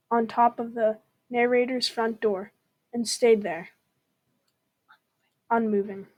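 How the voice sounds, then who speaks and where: Woman w a higher voice reading a story from a script. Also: rough recording. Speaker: student reader.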